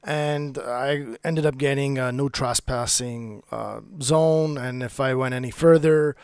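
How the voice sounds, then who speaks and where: A man's voice: medium pitch, steady tone, measured pace, darkish tone, clean tone, dry recording, clean studio sound. A man casually commenting on his gameplay and chatting with a friend. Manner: chatty, talks to friends over voice chat. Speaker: gaming channel host.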